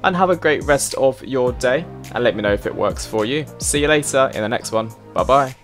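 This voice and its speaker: A man hosts a casual software tutorial, chatty and instructional as he talks straight to viewers. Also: mid-range voice, lively intonation, a little fast, soft background music. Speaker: host of a flight simulator tutorial channel.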